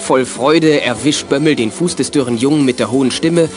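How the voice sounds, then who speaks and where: A man's voice: mid-range voice, moderate pace, music in the background, close to an everyday voice. A man narrating a children's detective radio play as its storyteller. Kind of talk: scripted narration.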